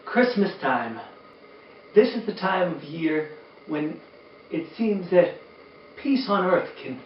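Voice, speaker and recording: An older man hosting a children's storytime, speaking expressively to young listeners with drawn-out emphasis. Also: sits high. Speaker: children's storytime host and reader, former teacher. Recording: roomy, distant, dull sound with faint noise.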